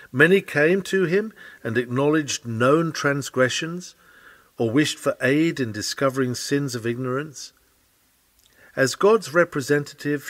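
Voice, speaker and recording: A man reading an old-fashioned sermon aloud from a script in a measured, formal preaching manner. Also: medium pitch, no room sound. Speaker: sermon reader.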